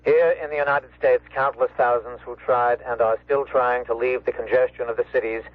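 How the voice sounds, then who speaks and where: Grave newsreader, played by a man: medium pitch, measured announcing, thin tinny broadcast sound. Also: level tone, dry recording, lightly acted. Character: grave male newscaster reporting a plague. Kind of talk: radio drama newscast.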